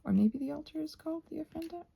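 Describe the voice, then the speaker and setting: A woman rambling casually and effusively in a soft ASMR style, often slipping into whispers as she thinks aloud while crafting. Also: sits high, expressive, racing, vocal fry.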